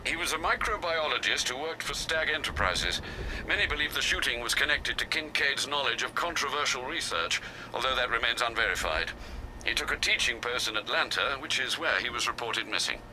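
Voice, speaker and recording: Man acting as older butler, formal, deferential, expressive intonation, grave concern, game cutscene, noisy background. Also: medium pitch, natural voice. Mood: dutiful, formal. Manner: formal, deferential, measured, dryly understated.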